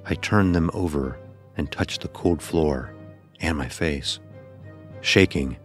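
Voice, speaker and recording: Casting: male actor as anguished youth narrating dark fantasy horror, extremely low voice, grave and deliberate, music underneath. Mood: shaken, overwhelmed. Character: anguished blind youth recounting a deadly ordeal. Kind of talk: scripted first-person horror narration.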